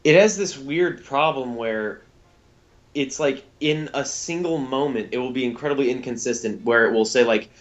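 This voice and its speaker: Blunt, halting man on slightly dull audio. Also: medium pitch. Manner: blunt, halting, swears casually. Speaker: podcast co-host. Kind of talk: casual critical review chat.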